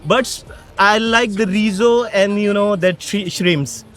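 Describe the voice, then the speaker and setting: A man's voice: high-pitched, a little slow, fairly bright tone, soft background music. A man from Pakistan with a Pakistani accent, chatting casually and emphatically as an interviewed passer-by outdoors. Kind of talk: casual chat. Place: outdoors by a city bridge.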